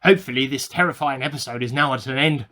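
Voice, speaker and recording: A man's voice: mid-range voice, quick pace, pushed and bright, lightly acted. A man playing an agitated, paranoid amateur scientist in a radio play diary, with melodramatic delivery. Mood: grimly scheming. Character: paranoid male amateur scientist at war with hamsters.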